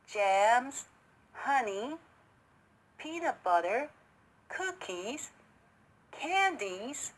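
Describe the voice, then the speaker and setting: A woman reading a children's story aloud in an expressive narrating style. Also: a little high, breathy edge, low-quality recording. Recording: noticeable background noise.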